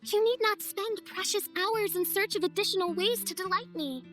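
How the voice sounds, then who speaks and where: A woman's voice: extremely high pitch, moderate pace, music in the background. A woman voicing a grandiose teenage princess in a video game, speaking in a pompous, archaic and theatrical way. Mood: regal, grandiose. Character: grandiose teenage girl, self-styled princess. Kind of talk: game cutscene acting.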